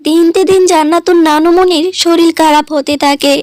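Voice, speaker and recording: A woman narrating a cartoon story in a measured storybook way. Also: extremely high pitch, steady tone, a little mellow, no echo. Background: music.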